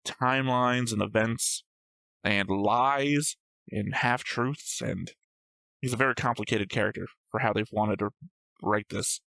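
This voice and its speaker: A man's voice: fairly low pitch, unhurried, gravelly, bright, forceful tone, no room sound, low-quality recording. A man co-hosting a reaction show, talking through his notes with a dry, analytical manner. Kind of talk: casual episode discussion reading own notes.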